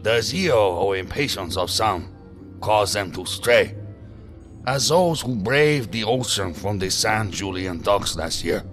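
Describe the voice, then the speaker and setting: Solemn sect leader, oratorical, low voice, faint music, science fiction radio play. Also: male voice, lively intonation, slow, a bit bright. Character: solemn male leader of a secretive extremist group. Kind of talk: science fiction audio drama acting.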